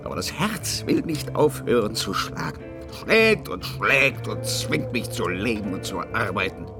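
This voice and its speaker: Here is an old, drunken painter in a radio play, wounded and self-pitying. His delivery is declamatory and volatile, swinging widely in pitch over a music bed. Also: sing-song, a little slow. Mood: bitter, self-pitying. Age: older. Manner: theatrical, declamatory, emotionally volatile. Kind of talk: German radio drama acting.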